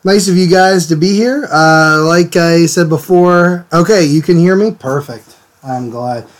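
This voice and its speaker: A man hosting a live drawing stream, a little hoarse from a cold, chatting casually and greeting viewers by name. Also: a little high, unhurried. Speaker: art stream host. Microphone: desk mic, sometimes turned away from it.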